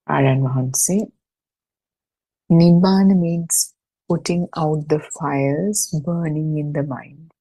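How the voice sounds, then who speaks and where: A woman reading a religious discussion text aloud for an online study session, in a measured, deliberate way with pauses between short phrases. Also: sits low, creaky, mellow, breathy edge, rough recording. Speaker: reader and host of an online Buddhist study session. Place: online video meeting.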